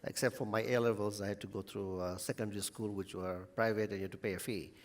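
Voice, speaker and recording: A middle-aged man from Tanzania, a hotel chief executive, telling his career story at a measured pace. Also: on the low side, steady tone. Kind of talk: panel answer. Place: conference hall.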